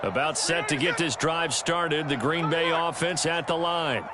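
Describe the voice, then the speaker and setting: A man's voice: a little high, unhurried, very noisy, close to an everyday voice. A man calling play-by-play as a polished television football announcer in a video game. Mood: steady, businesslike.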